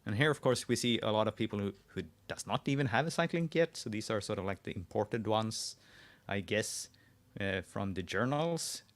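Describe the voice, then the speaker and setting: A man with a Swedish accent hosting a live screen-share tutorial, thinking aloud as he types, often pausing and restarting his sentences. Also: fairly low pitch, animated. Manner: thinking aloud while typing, frequent restarts. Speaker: host.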